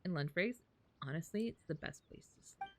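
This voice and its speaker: A woman's voice: on the low side, expressive, fairly quick, a little hiss or hum. A woman live streamer chatting informally and slangily with her audience while reacting to a game. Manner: informal, slangy, talking to chat.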